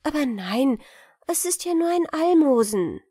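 A woman's voice: high voice, sing-song, measured pace, dark tone, no room sound, clean studio sound, voice pushed up. A woman voicing a kind, forthright young peasant woman in a fairy-tale audiobook, eager and plain-spoken.

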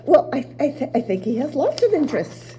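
Older woman reading innocent young Psyche: halting, eager, lively pitch, mellow tone. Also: a little hiss or hum. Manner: halting, eager, stumbling over words. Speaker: playwright reading her own play. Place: small theater stage with audience. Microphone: table mic.

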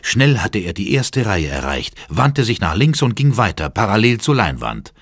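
A man's voice: fairly low pitch, big pitch swings, normal speed, a bit bright, breathy edge, dry recording, studio quality. A man narrating a horror audio drama in a suspenseful, theatrical manner. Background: music.

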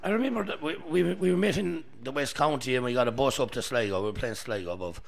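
A middle-aged Irish man, a former footballer, telling stories with a laugh in a busy pub on a boom mic. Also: fast talker, a little hiss or hum. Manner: gravelly, laughing storyteller. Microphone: studio mic on boom.

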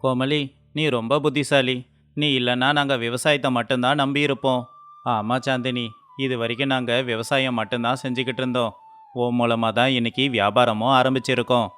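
A man acting an earnest, indecisive village farmer husband in a moral story cartoon, speaking plainly and deliberately. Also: mid-range voice, little pitch movement, fairly bright tone, clean tone, faint music behind, natural voice. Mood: grateful. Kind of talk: acted dialogue in a Tamil moral story cartoon.

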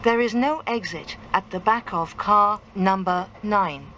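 A woman announcer of medium pitch reads a formal train announcement extremely slowly. Each phrase is measured and carefully enunciated. Train running noise is clearly audible behind her. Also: fairly bright tone. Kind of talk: recorded scripted train announcement.

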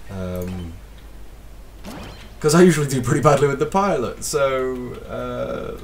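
A man with a slight cold, casually commentating his gameplay as a let's play host, excitable and self-deprecating. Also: medium pitch, unhurried, gravelly, far from the mic, slight background noise.

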